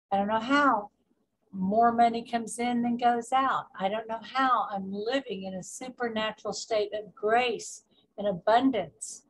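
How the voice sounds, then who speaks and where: A woman guiding a meditation as a spiritual coach, speaking deliberately in short phrases with pauses and repetition. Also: fairly high pitch, a bit bright, rough recording. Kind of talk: guided meditation with spoken affirmations.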